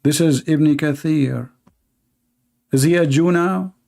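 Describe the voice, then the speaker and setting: A man's voice: on the high side, slow pace, gravelly, warm tone. A man whose first language is Arabic, hosting a live online debate in English with mocking, sarcastic emphasis. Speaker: debate show host.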